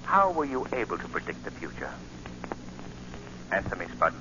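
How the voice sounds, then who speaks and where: Casting: mysterious avenger, stern and commanding, radio thriller, dull vintage sound with clear noise. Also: male voice, sits low, very animated. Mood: stern, probing. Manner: slow, commanding, deliberate interrogation. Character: invisible avenging man, menacing, commanding, mysterious. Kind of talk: 1940s radio acting. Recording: noisy, muffled 1940 radio recording.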